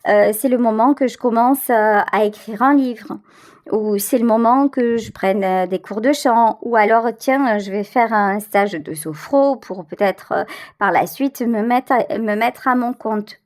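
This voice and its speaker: A woman's voice: fairly high pitch, a little slow. A woman giving a tarot reading straight to the listener, conversational and emphatic, repeating words for stress. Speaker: tarot reader, channel host.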